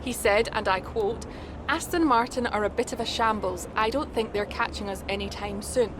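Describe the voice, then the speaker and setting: An actress playing a poised, probing trackside TV reporter interviewing in a video game cutscene. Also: female voice, fairly high pitch, moderate pace, natural voice. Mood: professional, probing. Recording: outdoor paddock noise behind.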